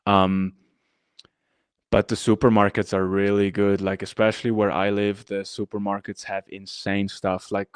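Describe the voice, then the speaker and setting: A man's voice: lowish voice, level tone, normal speed, clean tone, no room sound, studio quality. A man from the mountains of northern Italy, a guest on a podcast, talking casually with many fillers and restarts. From Dolomites region of northern Italy. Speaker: guest, activist rapper. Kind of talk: casual interview talk.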